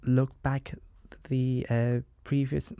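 A man's voice: medium pitch, little pitch movement, slow, mellow, smooth, no echo. A young man from Hong Kong with a Hong Kong accent, a law student answering interview questions hesitantly. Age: young adult. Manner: hesitant, searching for words.

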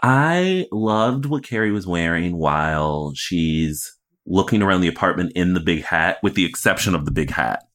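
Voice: low voice, lively intonation, moderate pace, fairly bright tone. A podcast host chatting casually about television in an opinionated, playful way. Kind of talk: casual TV recap chat.

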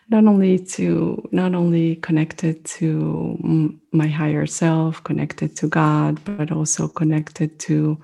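A middle-aged woman whose first language is French, speaking as an interview guest. She talks in a thoughtful, reflective way, pausing often as she searches for words. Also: deep voice, flat delivery, vocal fry, dark tone, husky. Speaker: mindfulness meditation teacher, guest.

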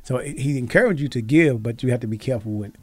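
Fast-talking folksy male radio host. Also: medium pitch, animated. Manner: folksy, colloquial. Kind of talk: casual chat interviewing guests on a radio show.

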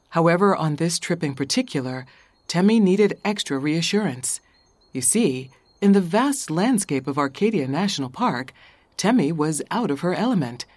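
A woman narrating an audio drama as a scripted storyteller, measured and slightly wry. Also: sits low, a little mellow, no echo.

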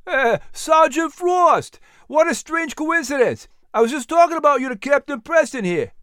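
A man's voice: sits high, big pitch swings, normal speed, clean tone, dry recording, studio quality, voice pushed up. A man voicing an eager, friendly, polite young bookseller in a historical storybook. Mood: delighted.

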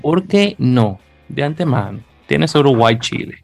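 A man's voice: medium pitch, expressive, unhurried, gravelly, faint music behind. A man hosting a casual chat, talkative, with many stammered restarts and easy laughter. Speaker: podcast host.

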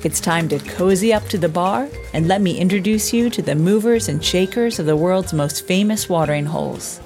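A woman's voice: lowish voice, normal speed, over music. A woman from the United States with an American accent, the host, giving a scripted introduction in a polished presenter delivery. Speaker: podcast host. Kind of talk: scripted introduction and interview questions.

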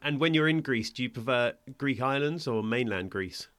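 A man's voice: mid-range voice, expressive, normal speed, fairly bright tone, clear, resonant tone. A man hosting a school podcast, reading a scripted introduction and asking questions in an upbeat way. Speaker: podcast host.

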